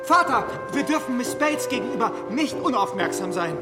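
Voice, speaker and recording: An actor in a radio drama playing a well-bred, restless young gentleman, polite, eager and nervous. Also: very high-pitched, big pitch swings, moderate pace, loud music behind the voice.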